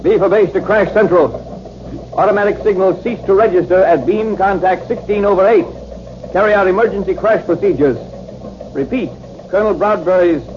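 An American actor playing a dutiful military radio operator in a 1950s radio drama, formal and measured with his call signs. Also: high-pitched, lightly acted. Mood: alert, urgent. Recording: muffled, noisy old 1950s radio recording.

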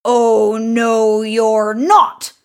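A woman's voice: fairly high pitch, level tone, drawn-out words, voice pushed up. A woman voicing a big, hairy, furious male troll in a children's story, roaring and chanting in a menacing sing-song.

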